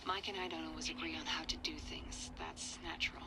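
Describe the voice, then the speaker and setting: A woman's voice: low voice, fairly even intonation, fairly quick, noisy background, close to an everyday voice. A woman playing a motherly, protective adult minding children in an audio drama, firm and reassuring.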